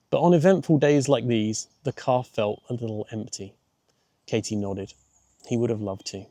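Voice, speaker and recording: A man's voice: medium pitch, mellow, no room sound. A man reading a children's audiobook as its narrator, in scripted storytelling style.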